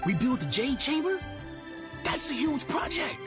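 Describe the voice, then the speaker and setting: A woman playing an eager, sly, ingratiating merchant in an anime game, animated in manner. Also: high-pitched, measured pace, music in the background. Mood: astonished.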